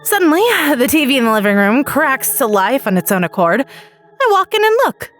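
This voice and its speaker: A woman's voice: fairly high pitch, very animated, moderate pace, fairly bright tone, faint music behind. A woman reading a first-person story aloud as a dramatic narrator.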